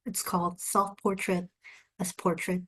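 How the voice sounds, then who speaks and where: Slow-paced woman reading poetry. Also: fairly low pitch, little pitch movement, fairly bright tone, husky. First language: Spanish and Korean. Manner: measured, deliberate, pausing between short phrases. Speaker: poet. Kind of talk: poetry reading with brief spoken introductions.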